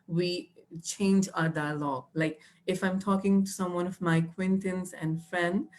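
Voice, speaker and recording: Lowish-voiced woman teaching. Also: fairly even intonation, a little slow, darkish tone. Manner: explanatory, patient, with many fillers and restarts. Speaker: IELTS trainer. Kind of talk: live online teaching.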